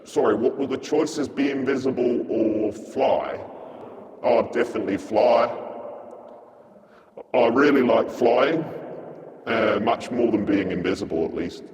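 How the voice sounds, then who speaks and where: A man's voice: mid-range voice, unhurried, pauses often, darkish tone, natural voice. An actor playing a secretive, disguised male informant who puts on an American accent and talks bluntly. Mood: guarded. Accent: put-on American. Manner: guarded, blunt, swearing. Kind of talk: mockumentary film acting. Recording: echoey hall from far off.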